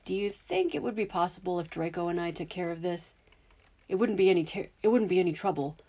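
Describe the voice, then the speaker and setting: A woman's voice: on the low side, animated, fast talker, dark tone. A woman voicing a polite, reassuring young wizard man.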